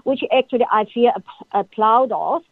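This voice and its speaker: A woman, a guest on the show, answering in halting speech with many fillers and grammar. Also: fairly high pitch, dry recording. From China. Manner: halting, frequent uh fillers, non-native grammar. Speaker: guest, PhD in psychology. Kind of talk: phone-in interview answers. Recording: tinny phone line, dry.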